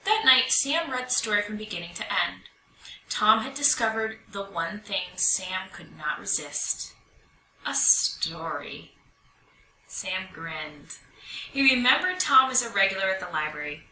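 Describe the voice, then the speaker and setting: Female storybook reader, very bright and lively, roomy distant recording. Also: medium pitch, unhurried. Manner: expressive, animated storytelling delivery. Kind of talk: children's picture book read-aloud. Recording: roomy echo, distant mic, faint noise.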